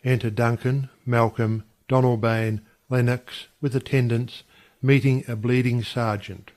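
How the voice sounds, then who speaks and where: A man's voice: medium pitch, level tone, unhurried, darkish tone. A man narrating a play, reading out scene headings and stage directions in scripted audiobook style.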